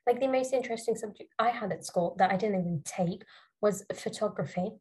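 A woman's voice: medium pitch, a little fast, darkish tone, breathy edge. A young British woman, a university student, chatting casually with lots of fillers. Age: young adult. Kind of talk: casual chat.

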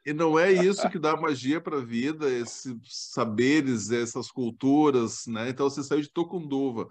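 A man's voice: mid-range voice, moderate pace. A man from southern Brazil, hosting a podcast in casual, enthusiastic chat. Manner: warm and enthusiastic, animated outbursts. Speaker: podcast host.

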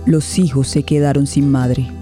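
Woman narrator, super mellow dark voice, sombre radio play, measured, light music behind. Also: very deep voice, steady tone. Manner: measured, deliberate phrasing.

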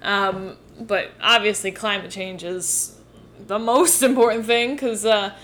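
A woman's voice: mid-range voice, fairly even intonation, takes it slowly, a bit bright. A woman chatting casually about politics in a conversational, agreeable way. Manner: conversational, agreeable, many fillers.

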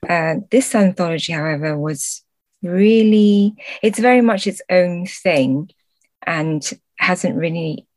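A woman's voice: sits low, dry recording. A middle-aged woman from China who grew up in the UK, giving thoughtful, explanatory answers as a translator in an interview. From born in Guangzhou, China, moved to the UK at a young age. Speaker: podcast guest, literary translator.

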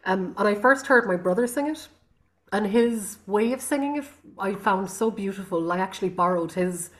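A woman's voice: mid-range voice, lively intonation, normal speed. Thoughtful Irish woman musician. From Ireland. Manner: thoughtful, with frequent ums and restarts. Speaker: guest artist. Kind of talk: reflective interview talk.

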